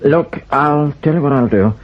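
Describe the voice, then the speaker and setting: An actor playing a curt, defensive intelligence officer under pressure in a radio spy drama, with a husky, clipped delivery. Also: male voice, on the high side, normal speed, muffled recording, lightly acted.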